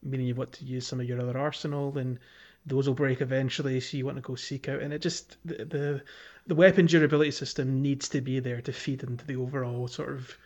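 A man co-hosting a casual gaming podcast, talking in a thoughtful, halting way on a slightly dull, lo-fi recording. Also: mid-range voice, level tone, darkish tone. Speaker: podcast co-host.

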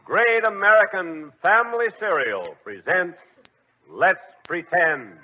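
A man's voice: high-pitched, expressive, slow, loud music behind the voice. A man as a jovial, avuncular announcer hosting an old-time children's radio show. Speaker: announcer and host. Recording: dull, very noisy old radio recording.

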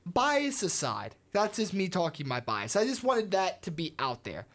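American female co-host, low and animated, teasing and chatty. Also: normal speed. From United States. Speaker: podcast co-host. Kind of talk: casual sports podcast chat.

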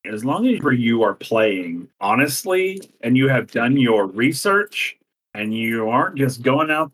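A man in his forties co-hosting a podcast, chatting casually in an animated, theatrical way and laughing often. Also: medium pitch, far from the mic. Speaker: podcast co-host. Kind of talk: casual chat.

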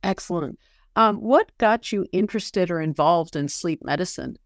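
Female podcast host, lowish pitch, prepared but conversational questioning, very little room sound. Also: lively intonation, moderate pace, no echo, studio quality.

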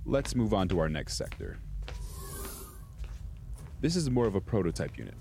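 An actor playing a composed senior research scientist in an audio drama, speaking in a measured, formal, polite manner. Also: male voice, low voice, wildly swooping pitch, gravelly, mellow, a little hiss or hum, heavily acted. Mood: proud, explanatory. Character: composed senior male research scientist, smoothly justifying dubious experiments.

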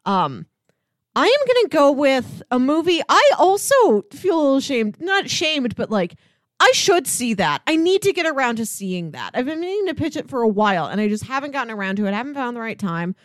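A woman's voice: sits high, very animated, a little fast, no echo, clean studio sound. A woman co-hosting a podcast, chatting casually about films. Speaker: podcast co-host.

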